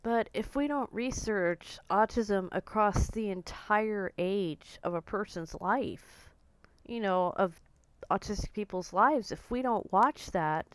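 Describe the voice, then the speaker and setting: A woman in her forties talking casually to camera in a blunt, outspoken way, her voice a little croaky and hoarse. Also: medium pitch, takes it slowly, no room sound, rough recording. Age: 40s. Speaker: vlogger.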